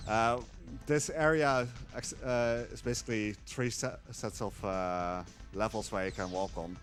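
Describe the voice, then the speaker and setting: A man's voice: fairly low pitch, a little slow, soft background music. A man commentating live on his own speedrun, explaining as he plays with many fillers. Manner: relaxed, hesitant with many fillers. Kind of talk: live commentary while playing.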